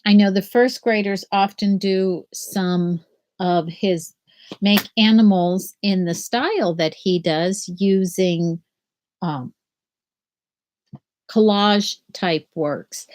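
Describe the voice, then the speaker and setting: An older woman teaching children from home over a webcam, explaining a picture book and then reading it aloud in a gentle sing-song. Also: medium pitch, takes it slowly. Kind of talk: children's read-aloud lesson. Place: small room at home. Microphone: laptop webcam video call.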